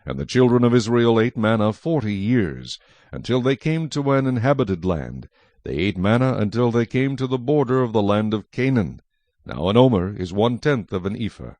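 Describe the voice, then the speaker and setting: A man narrating scripture from a script as a solemn, measured storyteller. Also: on the low side, sing-song, darkish tone, dry recording.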